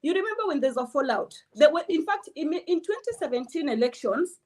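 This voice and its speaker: High-voiced outspoken woman. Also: sing-song, a little fast. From Kenya. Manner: outspoken, emphatic, blunt. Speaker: guest, political commentator. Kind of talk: interview, political opinion.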